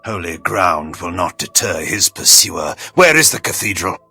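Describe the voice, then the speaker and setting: An actor voicing an arrogant, menacing vampire who speaks in a haughty, formal, commanding manner in a video game. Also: male voice, low voice, big pitch swings, normal speed, sharp tone, breathy edge, faint music behind, exaggerated voice. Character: arrogant, menacing male vampire, imperious and threatening. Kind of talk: theatrical video game voice acting.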